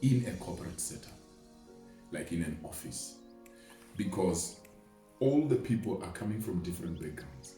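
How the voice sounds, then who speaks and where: Echoey, expressive man in conversational motivational talk. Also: sits low, moderate pace, pauses often. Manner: illustrates points with everyday examples and imagined quotes. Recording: echoey room, distant mic, music underneath. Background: music.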